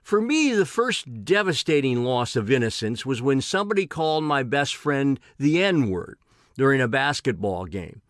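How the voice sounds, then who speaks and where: A man's voice: mid-range voice, very animated, normal speed, a bit bright, no room sound, clean studio sound. A man in his sixties reading his own personal essay aloud. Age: 60s.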